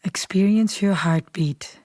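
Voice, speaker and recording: A woman leading a guided meditation in a calm, unhurried, soothing way, softly and at times almost whispering. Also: medium pitch, no echo, studio quality. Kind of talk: guided meditation, slow scripted instruction.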